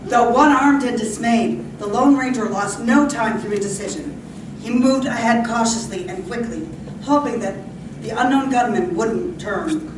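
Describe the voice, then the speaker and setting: A woman's voice: a little high, measured pace. A woman giving dramatic scripted narration for an old-time radio play, performed live on stage. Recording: roomy, distant, very noisy live stage recording.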